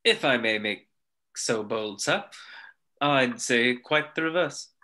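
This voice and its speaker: An actor playing a formal, wry, loyal manservant in an animated film table read, measured and deferential. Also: male voice, medium pitch. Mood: wry, reassuring.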